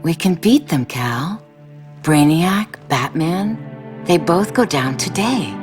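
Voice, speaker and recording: A woman's voice: on the low side, lively intonation, takes it slowly. A woman voicing a proud warrior princess in a stern, commanding manner. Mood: pleading. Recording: game audio over loud music.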